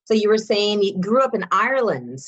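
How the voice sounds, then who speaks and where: A woman's voice: medium pitch, very animated, brisk, a bit bright. A woman hosting a talk show, interviewing a guest in a conversational style. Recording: dull lo-fi sound.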